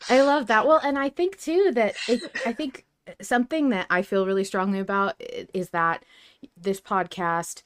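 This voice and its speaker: A woman's voice: mid-range voice, moderate pace, dry recording. A woman co-hosting a podcast, speaking in a casual but analytical way, earnest and emphatic as she builds her points. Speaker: podcast co-host.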